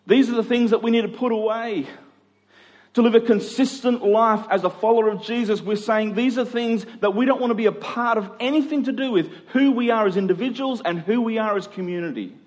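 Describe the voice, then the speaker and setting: A man's voice: sits high, fairly quick, darkish tone, dull audio. An Australian man giving a sermon in an earnest, conversational way, repeating phrases for emphasis. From Queensland, Australia. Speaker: preacher. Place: large room, preaching to a congregation. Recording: echoey hall with long reverb.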